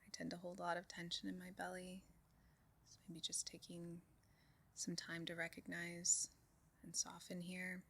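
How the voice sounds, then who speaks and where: A woman leading a guided meditation in a calm, soothing way, giving soft instructions with unhurried pauses. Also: on the low side, gravelly, slight background noise. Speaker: meditation group leader.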